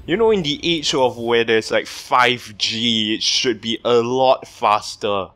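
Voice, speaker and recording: A young adult man doing casual gaming commentary, rambling and halting, repeating words and swearing as he plays. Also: medium pitch, pushed and bright, faint music behind. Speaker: gaming video host. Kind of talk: casual let's play commentary.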